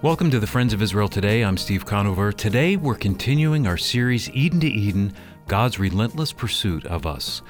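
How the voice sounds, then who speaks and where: A man's voice: fairly low pitch, big pitch swings, fairly quick. A man reading a scripted radio show introduction as announcer and co-host. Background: music.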